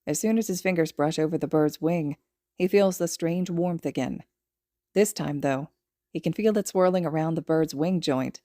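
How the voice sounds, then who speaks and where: A woman's voice: deep voice, moderate pace, dark tone, dry recording, clean studio sound. A woman reading a story aloud as scripted audiobook narration. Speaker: podfic narrator.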